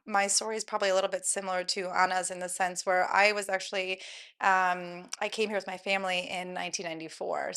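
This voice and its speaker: A woman in her thirties, a guest at the roundtable, telling her family's story in a relaxed way with frequent fillers. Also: medium pitch, creaky, smooth. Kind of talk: casual personal story.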